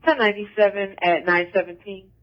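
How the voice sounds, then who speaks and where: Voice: mid-range voice, steady tone, fairly quick. Muffled police radio dispatcher, clipped and procedural. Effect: police radio transmission. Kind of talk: terse coded radio dispatch. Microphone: radio dispatch mic.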